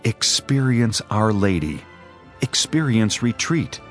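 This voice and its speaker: A man's voice: on the low side, big pitch swings, takes it slowly. A man reading a scripted religious promotional announcement. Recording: faint music bed under voice.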